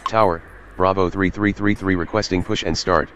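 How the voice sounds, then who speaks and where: A man's voice as a simulated pilot, reading back clipped radio calls in a flat, clipped way. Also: low-pitched, normal speed, a little hiss or hum.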